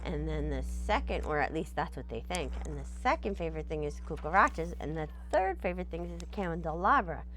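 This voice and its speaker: Expressive low-voiced woman reading a storybook. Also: a little fast, hardly any pauses, slight background noise. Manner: expressive, checks in with listeners as she goes. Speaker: teacher reading a picture book aloud. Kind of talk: storybook read-aloud with explanatory asides.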